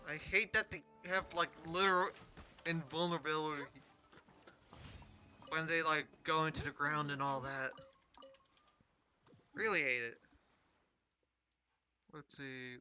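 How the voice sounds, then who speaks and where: A man's voice: on the high side, fairly even intonation, unhurried, long pauses, bright, forceful tone, soft background music. A man giving casual live commentary as he plays and streams a video game.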